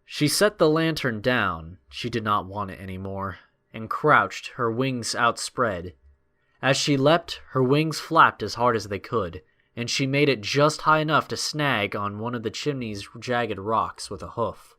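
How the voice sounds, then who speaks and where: Mid-pitched man narrating a dramatic story. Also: animated, measured pace, sharp tone.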